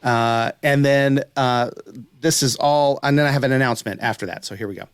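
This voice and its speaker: A man's voice: mid-range voice, fairly quick, clean tone, dry recording, studio quality. A man hosting a podcast, chatting casually in an enthusiastic, rambling way. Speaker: podcast host.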